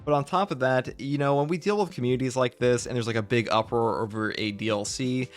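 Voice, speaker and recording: A man's voice: mid-range voice, a little fast. Casual male host over background music. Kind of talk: casual gaming discussion.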